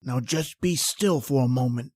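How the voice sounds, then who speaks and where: An actor playing a two-faced killer who poses as a friend, theatrical and taunting, in an old-time radio thriller. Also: male voice, mid-range voice, normal speed, close to an everyday voice. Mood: coaxing.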